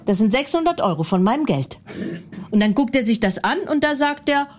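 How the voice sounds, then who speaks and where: A brash woman with a fairly high voice rants and mocks in blunt comedic banter. She talks in a loud, pushy way and laughs often between her jabs. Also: animated, a little fast.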